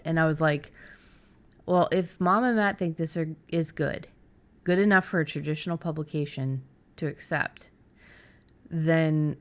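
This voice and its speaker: A middle-aged woman host talking casually and candidly about her own experience, haltingly, as if thinking aloud. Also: deep voice. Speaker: podcast host and fiction writer. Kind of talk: casual conversational storytelling.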